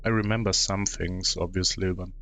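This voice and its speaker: A man's voice: deep voice, steady tone, moderate pace, gravelly, bright, forceful tone. A man with a German accent streaming a game, casually commenting and thinking aloud with hums.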